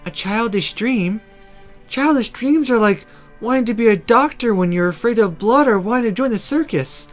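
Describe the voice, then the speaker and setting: A man's voice: very high-pitched, expressive, moderate pace, soft background music, voice pushed up. A man voicing a blunt, dismissive young female apprentice mage in a visual novel. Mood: scornful. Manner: dismissive, challenging.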